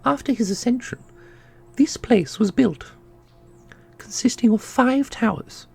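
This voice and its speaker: A man voicing a solemn, knowing keeper of an ancient magical order in a fantasy audio roleplay, speaking in a formal, measured way. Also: extremely high pitch, creaky, breathy edge, exaggerated voice. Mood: solemn, wistful. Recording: music under the voice.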